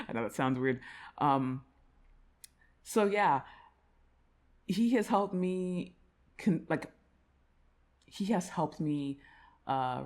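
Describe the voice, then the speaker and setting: Giggly, rambling woman chatting casually. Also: low-pitched. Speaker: audio roleplay creator addressing subscribers. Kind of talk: casual chat to listeners.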